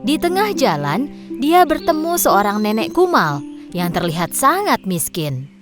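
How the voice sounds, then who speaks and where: A woman narrating a children's cartoon story in an expressive, lilting way. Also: mid-range voice, unhurried, over music.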